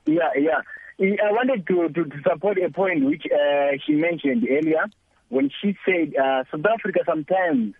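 A man phones in to a radio show from a moving car, earnest and halting. His voice is gravelly, pitched fairly high, and squeezed through a tinny phone line. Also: no echo. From Zimbabwe. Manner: earnest, halting, repeats words. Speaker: caller.

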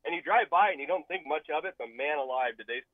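Over a muffled, narrow phone line, a man phones in to a radio show. His voice is a little higher than average. He talks casually, with plenty of enthusiasm and emphasis. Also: expressive, fast talker, no room sound. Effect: telephone line. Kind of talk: casual, chatty call-in talk. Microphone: telephone.

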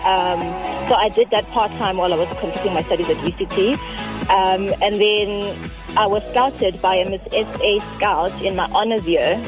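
South African woman on a phone line. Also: medium pitch. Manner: measured, frequent um fillers. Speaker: guest. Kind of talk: phone interview.

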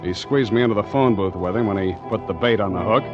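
Man playing a tough wisecracking sea captain, deadpan noir radio style, music behind, old muffled sound. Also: fairly low pitch, fast talker, close to an everyday voice. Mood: wry narration. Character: tough, wry ship's master telling his own adventure. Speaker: actor narrating and playing the hero. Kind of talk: 1940s radio noir acting, hard-boiled narration.

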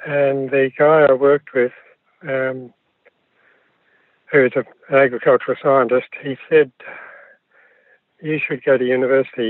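A man's voice: medium pitch, no echo. Older Australian man on a tinny phone line. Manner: hesitant, many ums and restarts. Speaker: guest. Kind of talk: relaxed recollection of his life.

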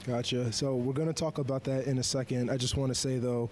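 A man hosting a casual interview, friendly and joking with an old friend, rushing his words when excited. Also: mid-range voice, a little hiss or hum. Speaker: podcast host.

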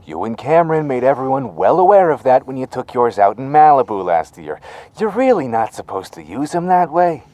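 Man as a slick, animated Hollywood agent. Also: mid-range voice, fairly quick, dry recording, natural voice. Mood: chummy, persuasive. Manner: glib, animated, persuasive. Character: slick, pushy, chummy male Hollywood talent agent. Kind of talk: naturalistic audio drama acting.

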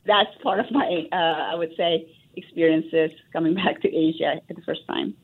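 A woman's voice: low voice, steady tone, moderate pace, slightly rough. A woman raised in Texas, answering a radio interviewer in an earnest, reflective way with many fillers and restarts. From Indonesia, raised in Texas. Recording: tinny phone line.

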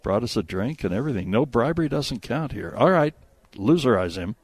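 A man's voice: mid-range voice, animated, fairly quick. An American man hosting a radio show, bantering and jokily teasing callers through a prize giveaway.

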